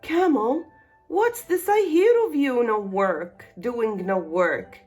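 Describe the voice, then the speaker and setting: A woman's voice: a little high, expressive, slow pace, darkish tone, faint music behind. A woman voicing a stern, commanding desert genie from a storybook, questioning and scolding with emphasis.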